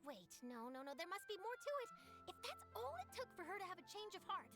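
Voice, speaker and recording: A keen young newspaper reporter from an anime game. She speaks high and with big swings in pitch. Her manner is brisk, insistent and courteous, over background music. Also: very animated, full character voice. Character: zealous young female newspaper reporter, persistent and polite. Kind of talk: anime video game voice acting.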